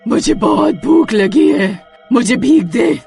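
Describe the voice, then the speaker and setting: Woman voicing a loud, demanding hungry witch. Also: a little high, expressive, slow pace, slightly rough, soft background music, voice pushed up, heavily acted. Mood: menacing, demanding. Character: hungry witch, ghost of a starved beggar woman.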